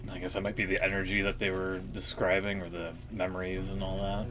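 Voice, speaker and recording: A man's voice: low-pitched, little pitch movement, a little fast, music in the background. A man streaming live, reacting to the story in loose, joking chat and thinking aloud with fillers. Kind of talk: casual live-stream commentary.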